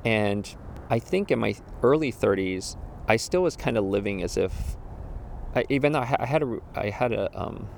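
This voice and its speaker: A man's voice: fairly low pitch, animated, moderate pace, gravelly, slight background noise. A man in his forties musing casually about getting older, rambling with restarts and quoted asides. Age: 40s. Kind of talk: casual reflective monologue.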